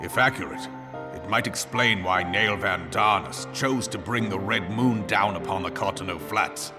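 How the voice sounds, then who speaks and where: An actor playing a stern, seasoned male general who speaks gravely in formal, old-fashioned phrasing, in a game cutscene. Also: lowish voice, normal speed, natural voice. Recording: music score underneath.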